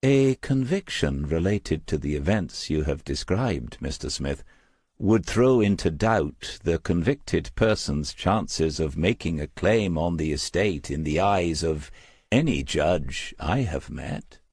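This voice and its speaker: A man voicing a formal, careful solicitor in an audiobook, speaking precisely and in measured phrases. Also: low voice, lively intonation, darkish tone, slightly rough, no room sound, studio quality, exaggerated voice. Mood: formal, advisory. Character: formal, careful male solicitor giving legal advice.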